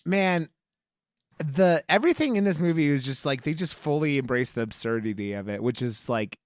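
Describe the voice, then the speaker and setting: Mid-pitched podcaster, animated intonation, dry sound, casual film chat, rambling, halting, sweary. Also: no echo. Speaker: podcast co-host.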